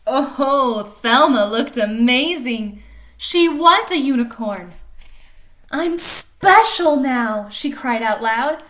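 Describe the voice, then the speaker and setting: A woman with a fairly high, very bright voice reads a storybook aloud to children. Her delivery is animated and expressive, with chatty explanations and questions in the manner of a teacher. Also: takes it slowly. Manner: animated, explains and asks questions of young listeners. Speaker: teacher reading a picture book aloud.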